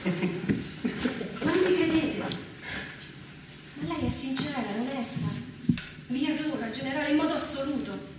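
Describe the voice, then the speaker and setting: An actress playing an outspoken, loyal maid in a stage tragedy, speaking with earnest emphasis. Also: female voice, high-pitched, a little slow, long pauses. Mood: earnest pleading. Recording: very echoey, distant, noisy stage recording.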